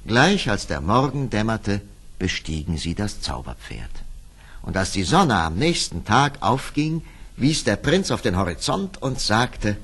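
A man's voice: lowish voice, big pitch swings, measured pace, fairly bright tone, breathy edge. A man narrating a scripted fairy tale as the storyteller of an audio play.

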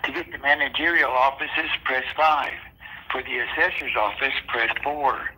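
A man reading a recorded phone menu greeting in a measured, formal way. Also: medium pitch, steady tone, sharp tone, slightly rough, low-quality recording.

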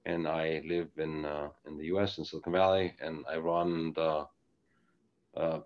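A very deep-voiced middle-aged man from Denmark talks through a work presentation over a video call from his living room. He rambles with plenty of fillers, restarts and doubled words. The sound is dull and lo-fi, like a laptop webcam mic. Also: little pitch movement, normal speed. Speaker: engineering executive presenter. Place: living room at home, evening.

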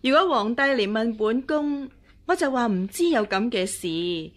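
An actress playing a scheming, regal empress dowager with a measured, dismissive manner, in Hong Kong Cantonese radio drama. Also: female voice, fairly high pitch, expressive. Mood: dismissive, composed. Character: haughty, scheming empress dowager, mother of a princess.

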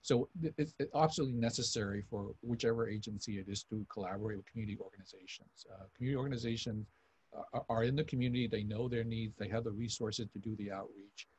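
A man's voice: on the low side, fast talker, darkish tone, breathy edge. An older man, a physician, speaking insistently on a video panel. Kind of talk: panel discussion. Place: room with shoji screen. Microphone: video call.

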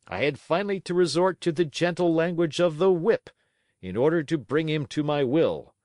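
A man's voice: fairly high pitch, expressive, moderate pace, darkish tone, no echo, studio quality, pitched above the performer's own voice, lightly acted. A man voicing a pompous, boastful male circus manager who addresses a crowd in grand showman's style. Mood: grandiose.

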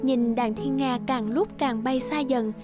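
A woman's voice: high voice, measured pace. A woman reading a scripted fairy tale as the narrator of a cartoon. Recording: music under the voice.

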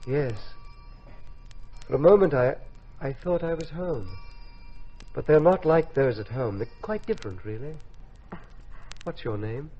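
A sick young British officer in an old radio play. His voice is husky and swings up and down, halting and weak with fever. The vintage recording is dull. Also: medium pitch, animated, warm tone, faint music behind. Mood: wistful. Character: feverish, wounded young British captain, homesick and gentle. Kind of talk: 1950s radio drama acting.

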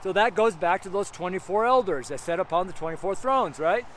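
A man's voice: sits high, animated. Emphatic street preacher man by traffic. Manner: emphatic, repetitive, keeps asking "right?"; reads scripture slowly and deliberately. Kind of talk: outdoor street sermon, verse by verse. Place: outdoors beside a busy road in snow. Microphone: camera facing him at medium distance. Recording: outdoor traffic noise.

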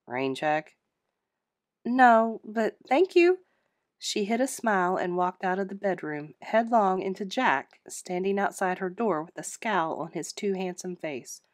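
A woman narrates a story from a script, audiobook fashion. Her voice sits a little low, in a dry space with no room sound. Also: no room sound. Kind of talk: scripted audiobook-style read-aloud.

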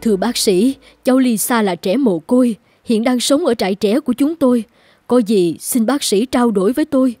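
Actress as cartoon orphanage matron, compassionate and anxious, warm dark tone, faint music underneath. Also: female voice, on the high side, normal speed, dry recording, studio quality, close to an everyday voice. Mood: worried. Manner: sympathetic, caring. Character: kindly, compassionate, worried orphanage carer woman. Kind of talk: animated children's story dubbing.

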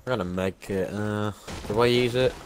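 A man streaming a game, chatting casually with a teammate, sarcastic and quick to swear about the game. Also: lowish voice, a little hiss or hum. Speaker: gaming streamer. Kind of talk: casual gaming chat.

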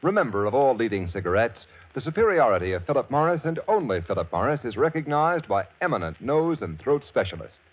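A man's voice: medium pitch, big pitch swings, fairly quick, few pauses, breathy edge. A man with an American accent reading a 1940s radio advertisement in a formal, emphatic announcer style. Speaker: commercial announcer. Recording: muffled, slightly noisy vintage radio.

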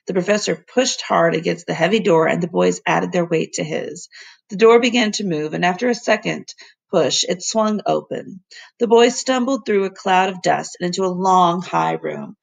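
Husky woman reading a story aloud. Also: fairly low pitch. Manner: plain, unhurried reading with small slips she corrects and asides to the kids. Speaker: teacher reading aloud to her class. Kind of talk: read-aloud storybook narration.

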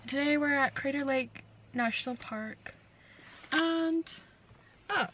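A young woman with a high voice talks into a phone held at arm's length, speaking slowly and easily. She chats casually as a travel vlogger, shrugging things off. The handheld audio carries clear background noise. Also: a bit bright. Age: young adult. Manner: chatty, easygoing, shrugs things off. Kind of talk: casual travel vlog narration. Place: car and outdoor park viewpoints. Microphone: phone camera at arm's length.

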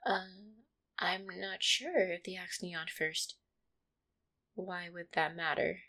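A woman voicing an affectionate, insecure catgirl girlfriend in an intimate roleplay, with a hesitant, soft-hearted manner. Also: lowish voice, takes it slowly, exaggerated voice. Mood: puzzled, probing. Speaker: roleplay audio performer. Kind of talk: intimate one-sided roleplay audio acting.

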